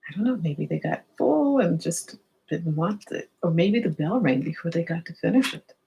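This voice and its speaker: A woman's voice: lowish voice, lively intonation, normal speed, creaky, dark tone, slightly rough, poor audio. A woman reading a children's story aloud, expressively, with explanatory asides and questions for young listeners.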